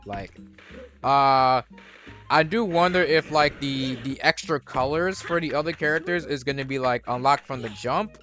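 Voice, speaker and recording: A man's voice: mid-range voice, fairly bright tone. A young man giving rambling, casual commentary while playing a video game, restarting his sentences and swearing freely. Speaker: gaming streamer.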